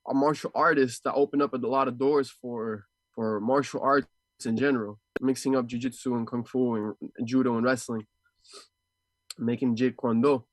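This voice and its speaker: Halting, reflective man, dry close sound. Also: medium pitch, dry recording. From Ecuador and Houston, USA. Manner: halting, searching, repeats words. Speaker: martial arts fighter, interview guest. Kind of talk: casual, reflective interview talk.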